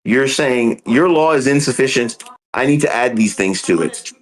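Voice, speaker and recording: A man's voice: medium pitch, expressive, normal speed, rough recording. A man hosting a podcast, earnestly explaining a theological point step by step, with frequent ums and restarts. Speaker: podcast host. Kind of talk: casual theological discussion.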